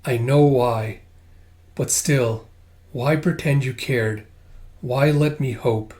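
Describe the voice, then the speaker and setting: A man's voice: mid-range voice, slow, a little mellow, natural voice. Man as bitter, vengeful young woman, intense. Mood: anguished.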